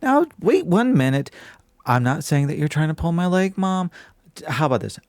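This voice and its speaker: A man's voice: on the high side, sing-song, normal speed, a little mellow, no room sound, studio quality. A man playing a chipper, folksy, old-fashioned podcast host. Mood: exasperated, placating. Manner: folksy, genteel, old-fashioned flowery phrasing. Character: chipper, verbose small-town podcast host and dutiful son. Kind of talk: audio drama, mock radio-show narration.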